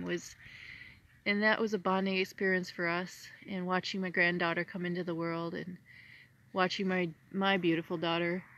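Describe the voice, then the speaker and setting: An older woman, a former nurse, talking softly and soothingly as co-host of a casual lakeside livestream. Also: on the low side, dull audio. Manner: soft, gentle, soothing way of talking.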